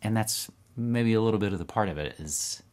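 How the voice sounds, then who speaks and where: A man in his thirties hosting a casual news roundup to camera from a room at home, animated and talking with his hands. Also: fairly low pitch, fairly quick. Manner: animated, talks with his hands, upbeat asides. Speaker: podcast host. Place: home room with record shelves.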